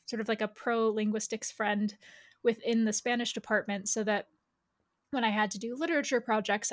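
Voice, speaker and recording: A woman from the United States speaking as an interview guest, telling personal stories in a chatty, explanatory way. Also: mid-range voice. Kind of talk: casual personal storytelling.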